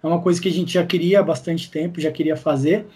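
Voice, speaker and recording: Husky, mellow Brazilian man, slightly high. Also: steady tone, fast talker. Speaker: podcast guest, audio drama creator. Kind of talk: casual interview chat.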